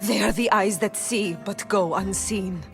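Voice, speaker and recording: An actress voicing a solemn, guarded, uneasy oracle in a video game drama, with music behind. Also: female voice, medium pitch, a little slow, vocal fry. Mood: solemn, cryptic.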